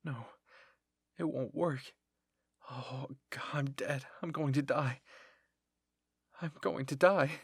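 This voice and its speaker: Man as panicking young girl soldier, husky, breathless, shaky pleading, fan fiction audio drama, close dry sound. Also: mid-range voice, steady tone, slow pace, long pauses, dry recording, heavily acted. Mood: panicked.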